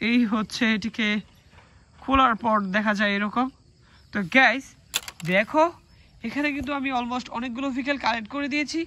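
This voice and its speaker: A host presenting a children's toy video to viewers in an excited, exclamatory way. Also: mid-range voice, brisk, long pauses. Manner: exclamatory, showing items to the audience.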